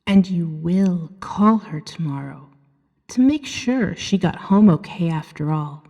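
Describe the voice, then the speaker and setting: A woman voicing a seductive, gently hypnotic female vampire in a soft ASMR roleplay, breathy and often near a whisper, coaxing and soothing as she tells a story to the listener. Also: lowish voice, muffled recording. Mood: gentle, reassuring. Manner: slow, soothing, hypnotic second-person narration. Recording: long hall-like reverb.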